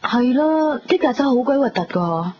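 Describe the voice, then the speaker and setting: A woman's voice: sits high, animated, moderate pace, a little hiss or hum. An actress playing a girlish, candid, squeamish young woman in a radio drama, speaking Cantonese with a Hong Kong accent. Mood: squeamish, playful. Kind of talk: 1990s radio drama dialogue, conversational. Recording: muffled 1990s radio recording, music behind.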